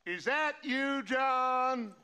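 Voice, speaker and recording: An actor playing a middle-aged outlaw gang leader in a video game, measured, theatrical and sardonic. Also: male voice, very high-pitched, background noise, full character voice. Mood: mocking, menacing. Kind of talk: dramatic video game acting.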